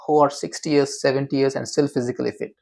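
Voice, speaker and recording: A man's voice: medium pitch, level tone, quick pace, gravelly, darkish tone. A man being interviewed as a memory expert, explaining in an emphatic, teacherly way and often asking rhetorical questions.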